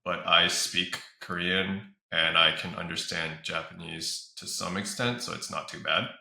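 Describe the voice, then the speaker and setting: A man's voice: low-pitched, fairly even intonation, measured pace, gravelly, pushed and bright. A man giving casual interview answers as an esports organizer, recorded in a room with some echo. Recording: roomy echo.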